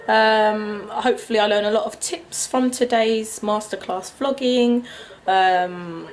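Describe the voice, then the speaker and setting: A woman's voice: medium pitch, steady tone, slow pace, a little hiss or hum, poor audio. A woman vlogging casually to camera, chatty and hesitant, her voice still recovering from a cold. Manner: chatty, hesitant with many ums.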